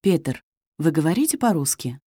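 A woman's voice: deep voice, sing-song, moderate pace, mellow, husky, no echo, studio quality, exaggerated voice. A woman playing a friendly journalist in a scripted textbook dialogue, reading evenly and carefully. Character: adult female journalist, friendly and polite. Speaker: dialogue actor in a listening test.

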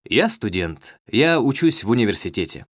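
A young man playing a polite student in a scripted textbook dialogue, reading slowly and clearly. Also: on the low side, big pitch swings, no room sound, studio quality. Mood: friendly. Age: young adult. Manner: slow, carefully clear reading. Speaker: dialogue actor in a listening test.

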